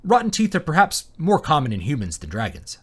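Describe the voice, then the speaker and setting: A man's voice: on the high side, huge pitch swings, a little fast, husky, voice pushed up, exaggerated voice. A man voicing a humble, kindly but nervous farmer in a fantasy story, earnest and tentative. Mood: curious, uneasy.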